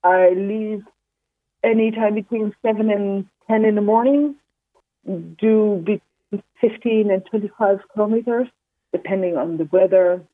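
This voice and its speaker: A woman's voice: medium pitch, no echo. A woman from the Netherlands, a walking pilgrim, talking in a phone interview with many fillers and restarts. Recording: tinny phone line.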